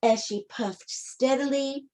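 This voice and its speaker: A woman narrates a picture book for young children in a high voice. She takes it slowly, phrasing each part deliberately and leaning on key words for dramatic effect. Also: darkish tone.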